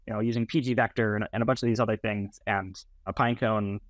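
A man's voice: lowish voice, fairly even intonation, fast, no room sound. A middle-aged man explaining technical matters as an interview guest on a video call. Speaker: interview guest, software founder. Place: small plain room indoors, video call. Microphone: earbuds on a video call.

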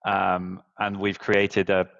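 A man's voice: low-pitched, monotone, takes it slowly, vocal fry, fairly bright tone, lo-fi sound. A man in his thirties on a headset mic in a video call, speaking as a guest on a webinar panel with frequent fillers and restarts. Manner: fluent, many restarts and fillers, earnest. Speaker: relocation business founder, panel guest. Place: indoors, virtual background.

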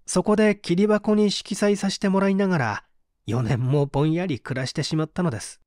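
A man's voice: fairly high pitch, dry recording, clean studio sound, natural voice. A man reading dramatically as a bitter, despairing painter who gives testimony in a formal, confessional way. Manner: confessional, expressive, formal narrative delivery.

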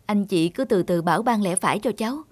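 A woman's voice: medium pitch, fast, slightly rough, dry recording, lightly acted. A woman voicing a polite, concerned primary school teacher who explains courteously, in a cartoon dub. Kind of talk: cartoon dubbing.

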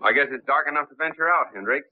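An actor playing a suave, self-assured wealthy gentleman detective in a 1930s film, breezy and confident. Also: a little high, quick pace, close to an everyday voice. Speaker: wealthy gentleman hero, Lamont Cranston. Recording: tinny old 1930s film soundtrack.

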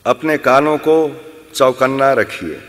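A man giving guided yoga nidra meditation instructions in short, measured phrases with deliberate pauses. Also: mid-range voice, animated, a bit bright. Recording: long hall-like reverb.

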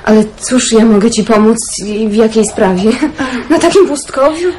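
A woman's voice: a little high, animated, moderate pace, dark tone, natural voice. A woman playing wide-eyed niece Basia. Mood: questioning. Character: young niece Basia, earnest, wondering. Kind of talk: radio drama acting. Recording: noisy radio play audio.

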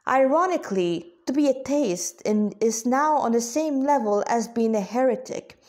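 A woman reading the part of a serene, pious devotee in a story, measured and earnest. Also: on the high side, expressive, darkish tone, lightly acted. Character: serene, pious devotee, humble and gently teasing.

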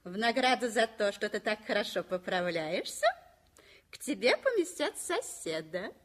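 A woman's voice: medium pitch, very animated, normal speed, a bit bright. An actress playing a cheerful plump young woman patient in a 1960s radio play, teasing and giggly. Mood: playful.

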